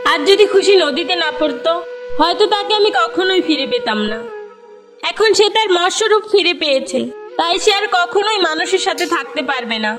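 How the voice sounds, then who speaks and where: A woman playing a solemn mermaid mother in a children's cartoon, measured and firm. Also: very high-pitched, expressive, over music. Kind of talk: Bengali children's cartoon voice acting.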